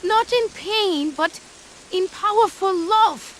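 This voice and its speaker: Very high, bright young maiden. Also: female voice, slow, slight background noise, exaggerated voice. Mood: rapturous. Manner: theatrical, earnest. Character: beautiful young woman, adoring, melodramatic bewitched maiden. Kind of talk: old-time horror radio play acting.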